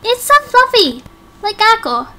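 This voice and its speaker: Woman doing excitable little kid in a game story, very high, eager n innocent. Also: measured pace, full character voice. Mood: curious. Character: curious, excitable young child fond of pets.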